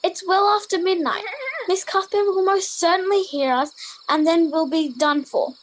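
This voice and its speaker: A woman's voice: extremely high pitch, fairly even intonation, normal speed. An Australian girl of about ten playing a prim, bossy Victorian boarding-school pupil in an amateur radio play. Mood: scolding, fearful. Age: 10 to 11, child. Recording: noisy home recording with crickets.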